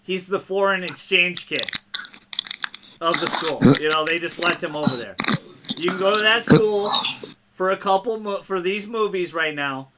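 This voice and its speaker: A man hosting a casual gaming podcast, excitable and quick to laugh. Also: high voice, a crowd in the background, rough recording. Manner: excitable, laughs often, talks fast in bursts. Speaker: podcast host. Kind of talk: casual chat.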